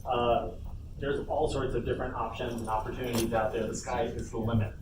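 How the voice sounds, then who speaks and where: A man's voice: medium pitch, little pitch movement, fairly quick. A man giving an informal instructional talk to an audience as a workshop presenter at a convention panel. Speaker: workshop presenter, game developer. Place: indoor convention panel room. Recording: roomy echo, distant mic, noisy convention room.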